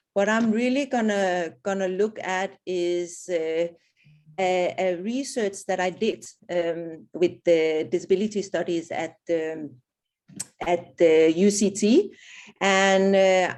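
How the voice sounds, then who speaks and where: A woman's voice: medium pitch, unhurried, a little mellow. A woman presenting at an online webinar, speaking hesitantly with many restarts and fillers. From not South African. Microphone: video call.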